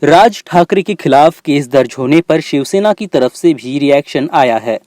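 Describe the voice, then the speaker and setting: Male news reporter, fairly high voice, very animated intonation, scripted narration. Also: moderate pace, no room sound, clean studio sound.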